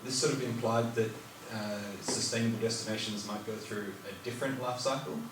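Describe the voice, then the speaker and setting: A man's voice: mid-range voice, steady tone, brisk. A man in the audience asking the panel a question, with some fillers. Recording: echoey hall, distant mic, background noise.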